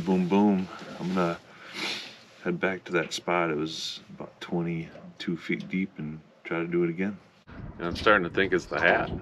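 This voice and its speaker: A man in his fifties casually narrating his fishing trip from a small boat on a lake, relaxed and matter-of-fact. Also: deep voice, noisy background. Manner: relaxed, matter-of-fact, upbeat when a fish bites. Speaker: fishing vlogger. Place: small aluminum boat on an open lake, outdoors. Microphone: action camera mounted in the boat.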